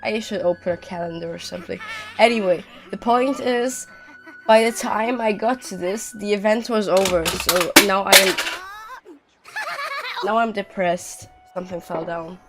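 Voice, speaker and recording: A woman's voice: medium pitch, fairly even intonation, normal speed. A woman livestreamer chatting casually and rambling over a game, audibly recovering from being sick. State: recovering from sickness, coughing, sore throat. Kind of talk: casual game stream chat. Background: music.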